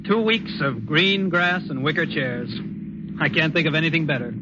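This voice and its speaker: Actor as breezy young husband over loud car noise. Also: male voice, fairly high pitch, normal speed, close to an everyday voice. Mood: cheerful, relaxed. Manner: breezy, exclamatory. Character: easygoing young city husband on holiday. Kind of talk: 1940s radio drama acting. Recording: muffled old radio, loud car noise.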